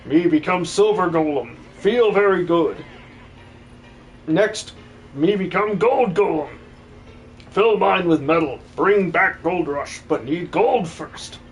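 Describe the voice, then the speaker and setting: A man puts on a big, simple-minded metal golem from a comic video game dub. The creature speaks very slowly in broken, caveman-like phrases, friendly and guileless, with a fairly high-pitched voice. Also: expressive, slight background noise, full character voice, poor audio. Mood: proud, earnest. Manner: slow broken caveman-like speech.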